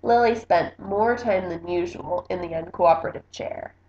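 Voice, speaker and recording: A woman's voice: fairly low pitch, moderate pace, a little mellow, a little hiss or hum. A woman book vlogger reading a picture book aloud in a casual, chatty style. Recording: slightly dull, roomy home recording.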